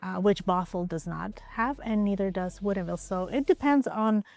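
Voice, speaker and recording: A woman from Ukraine, a real estate agent, answering interview questions in a relaxed, conversational way, often hedging with "I would probably say.". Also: medium pitch, normal speed, creaky. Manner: relaxed, conversational, with frequent hedges.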